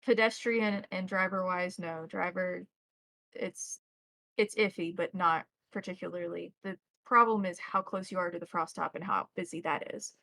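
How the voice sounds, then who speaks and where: A woman's voice: fairly low pitch, steady tone, moderate pace, creaky, pushed and bright, no room sound. A woman acting as game master, explaining and describing scenes in detail for a tabletop role-playing game.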